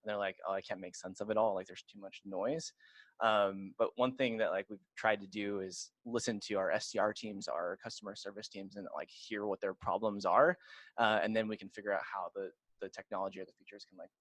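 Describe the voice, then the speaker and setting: A man's voice: lowish voice, steady tone, fairly quick, fairly bright tone, dry recording, low-quality recording. A man on a panel chatting very informally, with lots of filler "like" in his advice. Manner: informal, peppers speech with "like". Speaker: marketing panelist. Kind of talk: casual chat.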